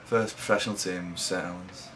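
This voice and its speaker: Deep flat-voiced lad. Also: male voice, slight background noise. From England. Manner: low-key, halting, trails off. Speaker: rugby league player. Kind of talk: interview answers.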